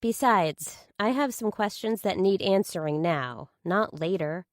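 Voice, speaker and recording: A woman's voice: medium pitch, expressive, a little slow, no echo, studio quality, close to an everyday voice. A woman voicing a blunt, sarcastic, distraught sister who questions incredulously, in a thriller audiobook. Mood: incredulous, demanding.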